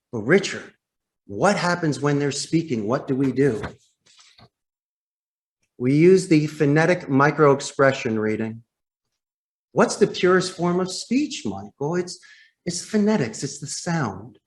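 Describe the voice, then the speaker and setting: A man speaking as a podcast guest and sales trainer, explaining phone technique emphatically and putting rhetorical questions straight to the listener. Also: on the high side. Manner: emphatic, demonstrative, acts out example phone lines.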